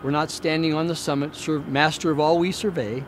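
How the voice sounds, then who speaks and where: A man giving a prepared hospital newsreel update in a formal, measured, deliberate way, like an executive addressing the community. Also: on the high side, steady tone. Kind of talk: prepared newsreel presentation to camera. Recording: clear outdoor traffic and ambient noise.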